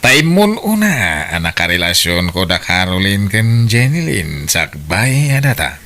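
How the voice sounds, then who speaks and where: Casting: male actor as brazen cheating husband, Ilocano accent, bright forceful voice, swinging delivery, audio drama. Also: deep voice, sing-song, a little slow, exaggerated voice. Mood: brazen, dismissive. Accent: Ilocano Filipino. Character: unfaithful, brazen husband with several women.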